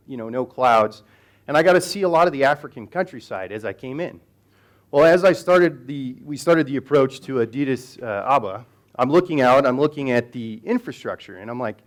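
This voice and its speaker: A man from the United States giving a conversational conference talk in a hall, with many fillers and restarts. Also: mid-range voice. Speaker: conference speaker.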